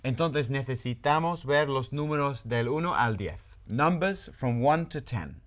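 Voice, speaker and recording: Very low-voiced woman teaching English. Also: expressive. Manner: clear, deliberate model pronunciation. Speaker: language teacher host. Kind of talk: bilingual English lesson presenting.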